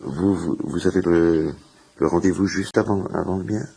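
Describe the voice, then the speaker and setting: A man playing a reserved, polite patient who speaks haltingly in a French radio comedy-drama. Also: low voice, fairly even intonation, creaky, mellow, slight background noise. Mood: hesitant. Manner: hesitant, halting, politely reticent. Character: reserved, polite, hesitant male patient in waiting room. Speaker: actor in a radio play.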